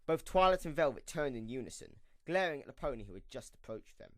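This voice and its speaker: Animated male story narrator, dry recording. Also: mid-range voice, quick pace, no room sound.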